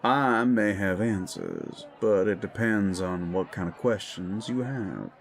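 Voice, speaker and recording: A man's voice: on the low side, creaky. A man playing a large, condescending werewolf who talks in a dry, teasing, self-assured way in an audio drama. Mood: mocking. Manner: slow, deliberate, teasing. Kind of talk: scripted audio drama dialogue.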